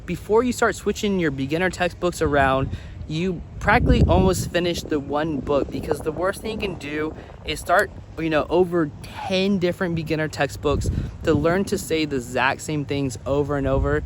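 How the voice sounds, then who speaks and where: A man's voice: a little high, a little hiss or hum. A man giving casual, instructional advice to viewers as a language-learning vlogger, repeating words for emphasis.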